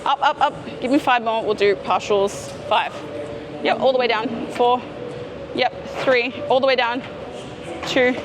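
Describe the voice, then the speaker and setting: A woman's voice: on the high side, steady tone, measured pace, background noise. A woman fitness coach explaining and cueing a workout as she hosts a gym vlog. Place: indoor gym.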